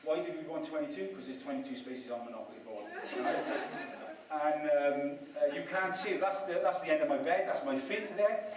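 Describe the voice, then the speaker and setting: A man's voice: fairly high pitch, a little fast, a crowd in the background. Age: adult. Sex: man. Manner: chatty, self-mocking speaker. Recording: very echoey room, distant mic. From Wales. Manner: chatty, self-mocking, asks the audience questions. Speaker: speaker at a property event. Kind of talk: motivational talk to an audience.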